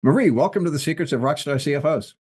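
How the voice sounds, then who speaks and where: A man's voice: on the high side, animated, fast talker, a little mellow, slightly rough. A man hosting an interview podcast who asks chatty, loosely rambling questions with some joking. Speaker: podcast host.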